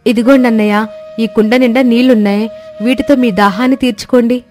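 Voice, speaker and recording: A woman's voice: on the high side, fairly even intonation, brisk, darkish tone, faint music behind, lightly acted. A woman playing a kind, dutiful village wife who speaks respectfully in a story. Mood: kind, modest. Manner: respectful, obliging.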